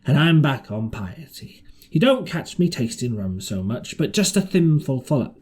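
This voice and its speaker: A man voicing a ragged, marooned old sailor in an audiobook, half-wild and pious, with a hoarse, halting, rustic way of speaking. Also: medium pitch, big pitch swings, darkish tone, natural voice. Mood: confiding. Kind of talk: audiobook character voice.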